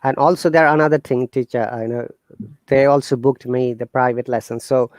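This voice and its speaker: Bangladeshi-accented man, earnest and halting. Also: medium pitch, no room sound. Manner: earnest, animated, halting with fillers and restarts. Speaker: guest teacher. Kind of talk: casual interview talk.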